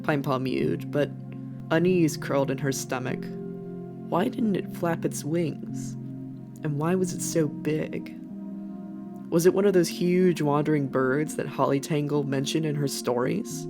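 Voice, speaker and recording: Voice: mid-range voice, fairly even intonation, a little slow. A narrator reading an animal adventure story as scripted narration. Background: faint background music.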